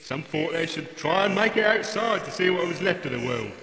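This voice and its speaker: Man as a creaky, cheeky British robot grumbler. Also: on the high side, lively intonation, fairly quick. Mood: matter-of-fact. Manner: blokey, sarcastic, grumbling. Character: grumbling, cheeky old working-class service robot. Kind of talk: comic audio drama acting. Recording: echoey hall, crowd noise behind.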